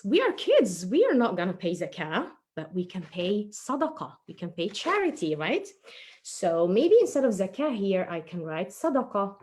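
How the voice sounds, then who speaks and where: Animated woman presenting crafts for children. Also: mid-range voice. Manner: playful, encouraging, talks to children as "we kids".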